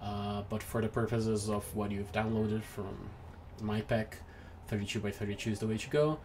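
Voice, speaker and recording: A young man with a Brazilian accent gives a screen-recorded software tutorial at home, hesitant and apologetic, with many ums and restarts. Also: on the low side, fairly even intonation, normal speed, slight background noise. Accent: Brazilian Portuguese. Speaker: tutorial maker. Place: home room with noisy neighbors.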